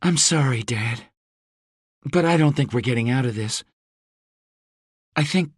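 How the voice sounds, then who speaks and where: A woman's voice: extremely low pitch, unhurried, slightly rough, no room sound, clean studio sound, pitched above the performer's own voice, full character voice. A male narrator voicing a fierce young woman who leads mercenaries under siege, blunt and swearing freely. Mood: defiant, weary. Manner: sharp-tongued, swearing, blunt.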